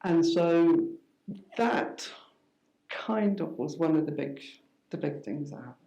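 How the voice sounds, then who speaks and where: A woman's voice: sits low, animated, slow, warm tone, reverberant, lo-fi sound. A woman in her sixties who grew up in Scotland, a guest speaking on stage in a wry, self-deprecating way with many restarts. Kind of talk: conversational talk on stage. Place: event hall with audience.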